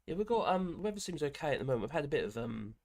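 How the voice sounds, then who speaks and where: An older man with grandchildren, hosting a casual live stream, hoarse from a cold and chatting in a rambling way with many fillers and restarts. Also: fairly high pitch, big pitch swings, rapid-fire, darkish tone. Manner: rambling, chatty, with frequent fillers and self-corrections.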